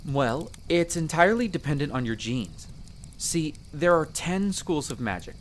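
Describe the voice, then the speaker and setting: A man's voice: fairly high pitch, sing-song, a little slow, a little hiss or hum. A man playing a friendly, chatty elf explorer in a roleplay audio drama, explaining things in an easygoing, conversational way to the listener. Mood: patient, explanatory. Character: friendly chatty male elf explorer, eager magic mentor.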